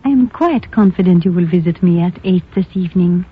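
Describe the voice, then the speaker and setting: A woman's voice: fairly low pitch, fairly quick, lightly acted. A woman playing a seductive, mysterious European femme fatale in a 1950s radio drama, with a Continental accent, formal phrasing and a coolly teasing, forward manner. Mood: coolly confident. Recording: tinny, narrow, hissy old radio recording.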